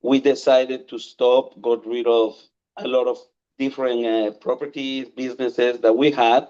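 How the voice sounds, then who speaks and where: An older man, a chef born in Peru, speaking as a radio guest over a video call in an unhurried, halting way with many uhs. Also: medium pitch, little pitch movement, a little mellow, clean tone. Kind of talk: casual radio interview guest. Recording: dull remote call audio.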